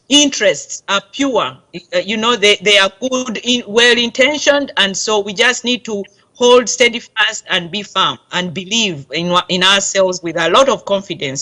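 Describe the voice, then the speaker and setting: Bright, rallying Ugandan woman. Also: mid-range voice. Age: middle-aged. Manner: earnest, rallying. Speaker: diaspora campaign volunteer. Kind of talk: interview answer.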